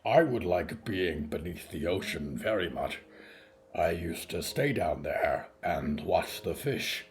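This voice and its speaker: Man doing a very deep, slow, glum creature. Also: lively intonation, slight background noise, full character voice. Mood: wistful, subdued. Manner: plain short sentences, subdued, glum, naive.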